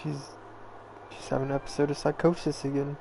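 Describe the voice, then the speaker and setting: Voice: medium pitch, little pitch movement, a little fast, gravelly, poor audio. A person muttering casual, mildly exasperated reactions while playing a game, with game music behind. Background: game audio and music.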